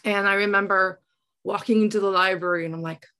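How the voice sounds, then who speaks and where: A middle-aged woman from South Africa with an Afrikaans accent, telling personal stories reflectively in an earnest way, often halting to search for words. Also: mid-range voice, steady tone, sharp tone, clear, resonant tone. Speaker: interview guest, writer. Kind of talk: reflective personal storytelling in conversation.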